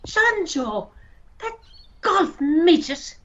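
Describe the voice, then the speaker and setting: Woman acting angry sarky irish horse owner, really high voice, slow delivery, muffled recording. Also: sing-song, fairly bright tone, full character voice. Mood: scornful, bossy. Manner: indignant, sarcastic, scornful. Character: irate, sharp-tongued woman who owns racehorses. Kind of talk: amateur radio play acting.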